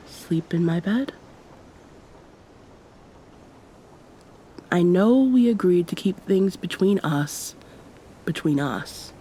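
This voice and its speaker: An immortal succubus pirate captain, acted by a woman in an intimate ASMR roleplay. Her voice is fairly low and dark, soft and often nearly whispered, with formal, old-fashioned wording. She sounds affectionate and teasing yet commanding, over a faint low rumble. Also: lightly acted. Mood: tender, longing.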